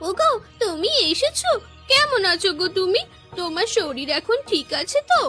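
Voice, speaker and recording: A woman's voice: extremely high pitch, big pitch swings, moderate pace, bright, forceful tone, faint music behind, full character voice. A woman voicing a scheming, greedy young wife in a cartoon, dramatic and whiny, wheedling and haughty. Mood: falsely sweet. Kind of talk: cartoon voice acting.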